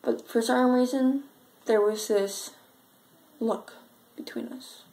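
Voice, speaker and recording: A young woman performing an amateur dramatic monologue as a sad, frightened schoolgirl, telling it earnestly with halting pauses. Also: fairly high pitch, fairly even intonation, warm tone, rough recording. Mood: subdued, uneasy. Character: sad, frightened schoolgirl recalling her missing friend.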